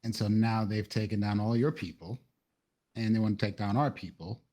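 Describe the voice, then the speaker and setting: A man's voice: fairly low pitch, fairly even intonation, moderate pace, warm tone. A man playing in a tabletop roleplay game, improvising in-character talk and describing his moves.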